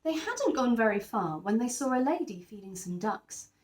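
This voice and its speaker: A woman reads a children's story aloud in a roomy, echoing space. Her voice is fairly high and swings widely in pitch. She stresses key words clearly for young listeners, in the manner of a teacher. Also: big pitch swings, moderate pace. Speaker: teacher reading a children's picture book aloud. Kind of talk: expressive scripted story narration.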